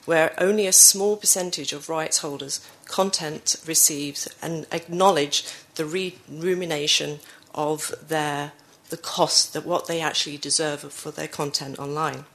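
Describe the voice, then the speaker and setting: Woman with a croaky cold, low gravelly voice. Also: fairly even intonation, measured pace, few pauses, a bit bright. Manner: frequent fillers and self-corrections. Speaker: conference speaker. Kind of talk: conference presentation.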